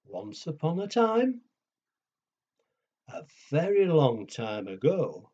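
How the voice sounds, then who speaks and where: A man telling a story to young children, with a husky voice, hushed dramatic pauses and simple questions to his listeners. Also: mid-range voice. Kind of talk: children's storytelling and nursery rhymes. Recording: dull lo-fi recording.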